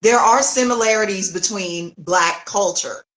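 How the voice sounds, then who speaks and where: A woman from the United States hosting a conversational interview, animated and fond of acting out quoted voices. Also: medium pitch, a little slow, low-quality recording. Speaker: host.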